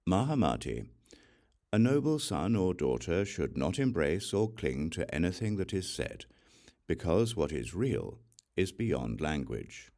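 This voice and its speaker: A man reading scripture as audiobook narration, solemn, measured and deliberate in his delivery. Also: low voice, sing-song, darkish tone. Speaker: audiobook reader.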